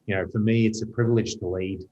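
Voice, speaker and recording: A man with an Australian accent, a retail executive speaking in a panel discussion with a measured manner and frequent pauses. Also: lowish voice, fairly even intonation, warm tone, highs cut off.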